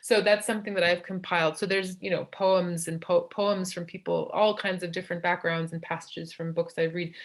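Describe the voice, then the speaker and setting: Brief: woman guest, fairly low voice, thoughtful and earnest, halting phrasing. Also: flat delivery. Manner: earnest, thoughtful, with restarts and stumbles. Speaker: nonprofit director, guest. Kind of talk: casual interview chat.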